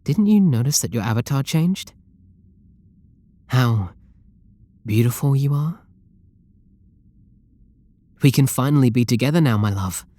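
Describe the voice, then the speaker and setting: A man's voice: medium pitch, expressive, a little slow, pauses often, mellow, no room sound, natural voice. Dry, intimate British actor as obsessive male lover. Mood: adoring. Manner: doting, intimate, pleading endearments. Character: obsessive, possessive male game developer, lovestruck and controlling. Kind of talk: roleplay ASMR audio drama.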